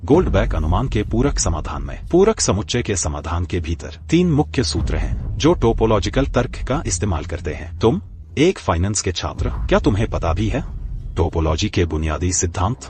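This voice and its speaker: An actor playing a calm, confident young man who exposes a fraud, speaking in a cutting, challenging way in a dubbed drama. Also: low voice, moderate pace. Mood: scornful. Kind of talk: Hindi dub of a short drama. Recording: faint music behind.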